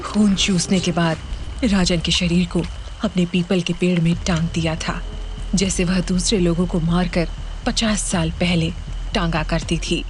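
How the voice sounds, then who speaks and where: A woman storyteller giving a dramatic scripted narration of a horror story. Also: on the low side. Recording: music behind the voice.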